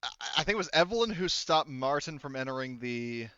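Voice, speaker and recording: A man with a clean, resonant voice at a middle pitch debriefs a tabletop session as game master. He is informal and rambling, with casual swearing. The audio is close and dry but a little muffled. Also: expressive, brisk, pushed and bright, clear, resonant tone, dry recording.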